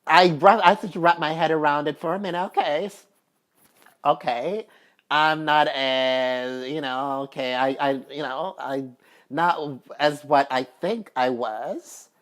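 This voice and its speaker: A woman's voice: low-pitched, a little slow. A woman vlogger talking casually and at length, chatty and repetitive with many fillers, and emphatic when she makes a point. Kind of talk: casual personal talk to camera.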